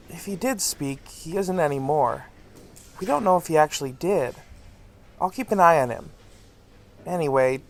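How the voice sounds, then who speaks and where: A man's voice: on the high side, full character voice. A man playing a laid-back, teasing male English professor who chats easily with a colleague. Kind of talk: audio drama acting.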